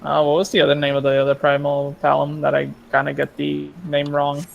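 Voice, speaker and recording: A man's voice: fairly high pitch, monotone, fairly quick, gravelly, dull audio. A man talking casually with friends on a gaming voice chat. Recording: noisy voice chat mic.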